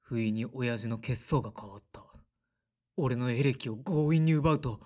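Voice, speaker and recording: A man's voice: medium pitch, moderate pace, very noisy, lightly acted. A man playing a blunt, resentful son in his thirties, in audio drama dialogue and narration. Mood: wry, recounting. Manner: sullen, blunt. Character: resentful 35-year-old son, former teenage rock guitarist.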